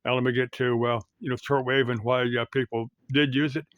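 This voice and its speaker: A man's voice: mid-range voice, flat delivery, smooth, dry recording, muffled recording. Rambling middle-aged American man, dry sound. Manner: halting, rambling, repeats and backtracks. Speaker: YouTube host. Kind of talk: casual explanatory monologue.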